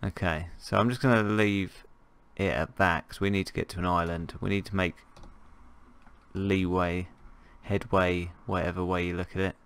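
A man with a croaky voice from a cold, chatting casually and jokingly as he plays and narrates a survival game. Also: low voice, fairly even intonation, normal speed, fairly bright tone. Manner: rambling, jokey, thinking aloud. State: hoarse from a cold. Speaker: gameplay commentator. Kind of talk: casual gameplay commentary.

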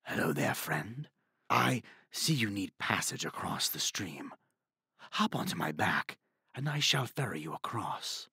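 A man voicing a sly storybook fox with a calm, courteous and formal way of persuading. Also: low-pitched, measured pace, vocal fry, husky, no room sound, clean studio sound, voice pushed down, heavily acted. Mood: courteous, inviting. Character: smooth-talking, calm, sly red fox.

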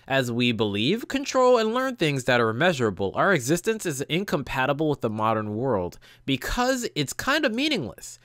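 A man's voice: medium pitch, fairly bright tone, no room sound, clean studio sound. A young adult man reading visual novel text aloud as a gaming host, stumbling now and then and adding casual reactions. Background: game music.

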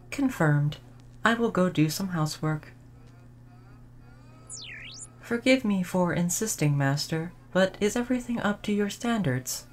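A woman playing a gentle, dutiful female android servant in an audio roleplay, speaking in formal, polite, measured phrases. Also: mid-range voice, natural voice. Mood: dutiful, concerned. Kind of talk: audio roleplay addressed to the listener.

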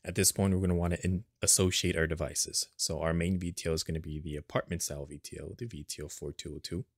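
A man narrating an unscripted step-by-step software tutorial in a plain, instructional manner, recorded as a screen voice-over. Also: low voice, fairly even intonation, fast, no room sound, studio quality.

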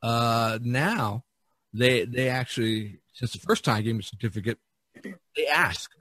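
A man's voice: medium pitch, a little slow, a bit bright, slightly rough, no echo. A man chatting casually on a podcast, explaining things in a rambling way with many "you knows". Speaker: artist on a podcast.

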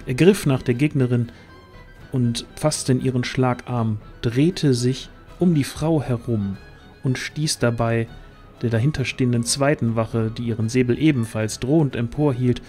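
A man's voice: medium pitch, warm tone. A man narrating a scripted fantasy audio drama as the storyteller.

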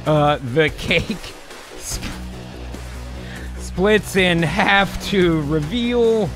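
A man's voice: sits high, drawn-out words. A man streaming from close on the mic, chatting casually and brainstorming, drawing out phrases as he types along. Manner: drawn out while typing aloud. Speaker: co-host and writer. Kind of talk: casual improvised brainstorming chat. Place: home streaming room. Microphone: close microphone on boom arm. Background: music.

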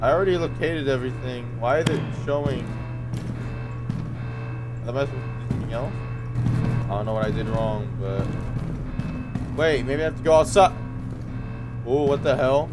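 A man's voice: mid-range voice, normal speed, pauses often, over loud music, poor audio. A man in his twenties giving casual, sweary playthrough commentary on a horror game, talking to himself as he goes. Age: 20s. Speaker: gaming video creator. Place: bedroom.

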